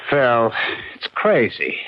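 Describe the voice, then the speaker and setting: An actor playing a skeptical, incredulous office colleague in a 1970s radio drama. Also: male voice, mid-range voice, huge pitch swings, slow pace, no echo. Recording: dull, dry 1970s radio recording.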